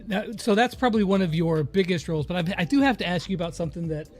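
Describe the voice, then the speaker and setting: Higher-voiced male interviewer. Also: animated, quick pace, soft background music. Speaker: interview host. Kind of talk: casual interview chat.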